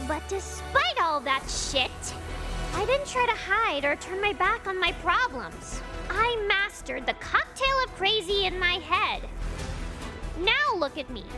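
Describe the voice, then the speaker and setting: A woman's voice: very high voice, full character voice. A woman voicing a hot-tempered, swearing young alien princess in a game cutscene, brash and sarcastic, with music behind her. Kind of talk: animated game cutscene acting.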